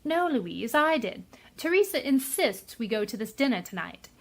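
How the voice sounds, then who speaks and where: A woman's voice: on the high side, sing-song, moderate pace, breathy edge, full character voice. A woman playing a breezy, bored wealthy society matron with a languid, teasing way, in a radio drama. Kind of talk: old-time radio drama acting.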